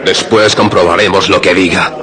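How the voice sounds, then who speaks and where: A man's voice: sits low, steady tone, normal speed, a crowd in the background, lightly acted. An actor playing an anguished, vengeful village father in radio drama, impassioned and declamatory. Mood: vengeful, demanding. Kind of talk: Spanish radio drama acting.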